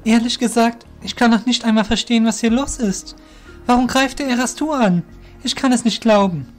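A man's voice: very high-pitched, moderate pace, faint music behind, pitched above the performer's own voice. A man voicing an earnest, respectful, somewhat hesitant young shadow guild apprentice in a fantasy audio drama. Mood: bewildered.

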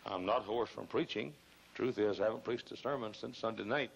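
An older man, hoarse and gravelly, preaching an emphatic sermon at a pulpit microphone in a large church, repeating phrases and rising into loud bursts. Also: fairly low pitch, fairly quick, highs cut off. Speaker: pastor. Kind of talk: fiery sermon. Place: large church auditorium, choir behind.

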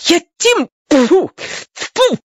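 A man voicing a determined, excitable boy adventurer in a children's audio story, exclaiming and talking to himself. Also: extremely high pitch, drawn-out words, no echo, clean studio sound, voice pushed up, exaggerated voice. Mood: panicked, spluttering.

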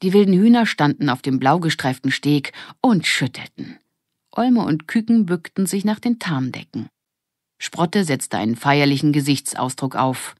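A woman's voice: sits low, expressive, moderate pace, a little mellow, no echo, clean studio sound. A woman narrating a children's audiobook in a scripted storytelling style.

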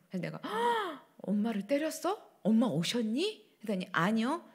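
A middle-aged woman pastor preaching a sermon at a church pulpit, swinging between loud declarations and hushed asides. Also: a little high. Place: church sanctuary, standing at pulpit. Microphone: pulpit microphones.

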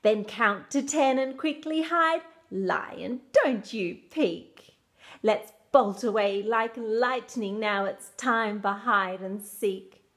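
A woman reading a children's picture book aloud in an expressive, measured storytelling style. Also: a little high.

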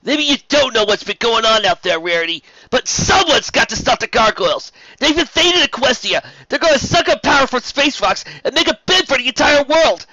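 A man's voice: fairly high pitch, big pitch swings, moderate pace, bright, forceful tone, dry recording, muffled recording, pitched above the performer's own voice, heavily acted. A man voicing a young male dragon in a storybook reading, earnest and emotional, with easily wounded pride. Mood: defiant, urgent.

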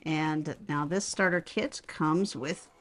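Low-pitched woman reviewing a product. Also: takes it slowly, fairly bright tone. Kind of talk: casual unboxing and demonstration. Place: indoors at a home.

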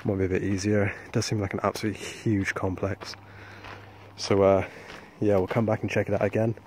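A man vlogging while exploring abandoned mill grounds at night, talking in a hushed, hesitant way with many fillers, recorded on a handheld camera outdoors. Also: lowish voice, level tone, a little fast. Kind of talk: casual vlog narration. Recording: faint outdoor background noise.

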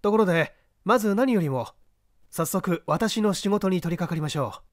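Gentleman detective for audiobook, male reader acting: self-possessed, terse, probing, pitched up, businesslike. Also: lively intonation, moderate pace. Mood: brisk. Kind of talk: audiobook character dialogue.

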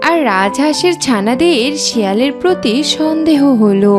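A woman's voice: sits high, lively intonation, moderate pace. A woman narrating a scripted children's cartoon story. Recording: music under the voice.

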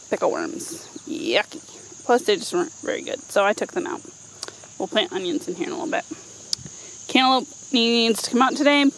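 A woman's voice: on the high side, low-quality recording. A woman in her thirties chatting casually and playfully as she gives a garden tour for a vlog. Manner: informal, playful asides. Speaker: vlogger giving a home garden tour. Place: outdoors in a backyard vegetable garden. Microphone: handheld camera microphone. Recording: outdoor handheld camera, noticeable ambient noise.

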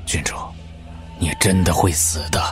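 A grave old martial master, acted by a man in an audio drama. His voice is deep and he speaks with solemn, measured loyalty. Soft music runs underneath. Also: steady tone, natural voice. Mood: resigned warning.

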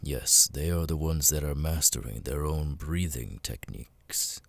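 A man playing a cold, menacing, seductive demon lord in an ASMR audio roleplay, breathy and gravelly, often near a whisper, with slow deliberate pauses. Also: a bass voice, lively intonation, dry recording, exaggerated voice. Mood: calm, boastful.

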